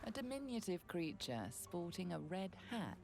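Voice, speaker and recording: A woman voicing an ominous, composed narrator of a fantasy video game in a measured, dramatic way. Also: fairly low pitch, very animated, gravelly, music in the background. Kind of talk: scripted second-person game narration.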